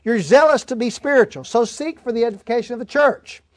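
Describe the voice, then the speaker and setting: A man's voice: very high-pitched, fast talker, dry recording, studio quality. A man preaching a verse-by-verse Bible lesson in an emphatic, folksy, plain-spoken way. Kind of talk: Bible-teaching sermon, verse-by-verse reading and explanation.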